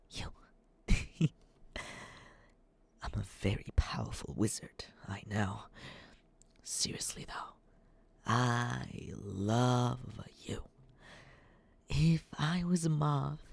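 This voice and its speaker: An obsessive, lovesick young man, acted by a male ASMR performer, awkward and sweetly creepy. His husky voice lingers slowly over words and slips into soft, breathy asides. The pitch wanders up and down as he dotes nervously on the listener. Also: full character voice. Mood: adoring, playful. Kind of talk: ASMR roleplay.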